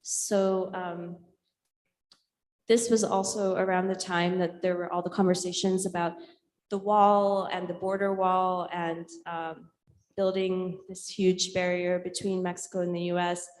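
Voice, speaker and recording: A woman from India whose native language is Urdu speaks in a long, reverberant hall. Her voice is on the low side and keeps to a flat, even line. She presents like an artist explaining her work informally, thoughtful and halting, with many filled pauses. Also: a little hiss or hum. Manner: hesitant, reflective, many pauses with filled ums. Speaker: visual and performance artist giving a talk.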